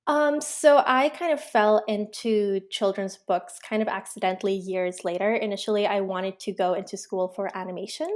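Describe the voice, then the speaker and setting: Relaxed woman guest, full of ums. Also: mid-range voice, normal speed, few pauses. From Pakistan. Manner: relaxed, reflective, many ums and likes. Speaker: guest, children's book author and illustrator. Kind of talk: casual interview answers.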